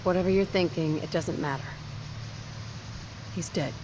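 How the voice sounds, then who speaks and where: A woman acting as a firm survivor in a post-apocalyptic game. Her voice is very low for a woman, with clear noise behind it. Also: normal speed, close to an everyday voice. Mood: firm, bitter. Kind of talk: video game dialogue acting.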